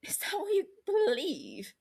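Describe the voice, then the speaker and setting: A woman voicing a bitter, wounded younger brother in an audio story, heated and scornful, breaking into breathy hurt. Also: very high-pitched, huge pitch swings, measured pace, a little mellow, voice pushed up, exaggerated voice. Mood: breathless disbelief.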